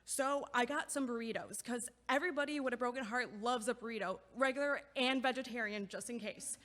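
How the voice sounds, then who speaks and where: An actress as an anxious young woman with a high voice, in a comic audio play set in ringing, reverberant space. She rambles, doubles back and over-explains every kind intention. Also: brisk, fairly bright tone, close to an everyday voice. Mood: nervous rambling. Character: nervous, rambling, over-considerate young woman bringing food. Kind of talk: audio play acting, comedic monologue. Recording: echoey hallway-like long reverb.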